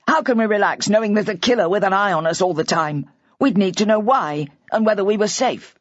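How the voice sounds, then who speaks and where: A despairing older husband who rambles in a near-hysterical rush, as a woman narrator puts him on. The voice stays close to her own husky tone, on a dull, dry recording. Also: medium pitch, a little fast, dry recording, lightly acted. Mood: distraught.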